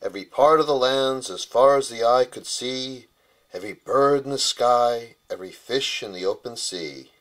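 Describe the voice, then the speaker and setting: A man's voice: medium pitch, moderate pace, fairly bright tone. A man narrating a rhyming children's bedtime story as its author.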